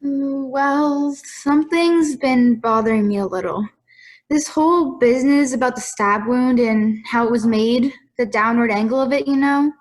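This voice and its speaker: Careful, questioning juror, played by a woman, high voice, doubtful, amateur audio drama. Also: a little slow.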